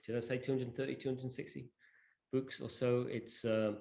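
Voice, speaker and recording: A man's voice: mid-range voice, little pitch movement, normal speed, gravelly, mellow. A man presenting an informal live product demonstration, explaining things in a hesitant way. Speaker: presenter demonstrating a digital library. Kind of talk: live product demo to an audience.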